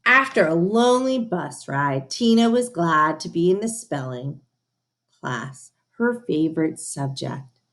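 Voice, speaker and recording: A woman reading a children's story aloud in an expressive, emphatic, teacherly way, with asides to her listeners. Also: lowish voice, unhurried. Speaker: storybook reader.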